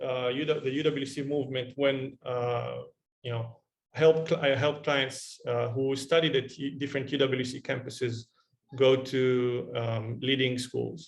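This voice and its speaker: A man's voice: mid-range voice, steady tone, moderate pace, vocal fry, reverberant. A man, an admissions consultant and parent, speaking earnestly and explaining at length in a webinar over a video call. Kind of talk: webinar talk.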